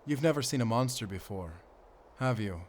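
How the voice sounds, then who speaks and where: An actor playing a mysterious, confident monster hunter with a German accent in a gothic horror audio roleplay, speaking in a measured way to a companion. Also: male voice, mid-range voice, lively intonation, slight background noise, close to an everyday voice. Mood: concerned.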